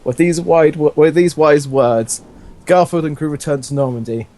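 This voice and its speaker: A man's voice: a little high, normal speed, warm tone. A young man casually reading fan fiction aloud, stumbling over lines and joking along. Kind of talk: casual story reading with banter.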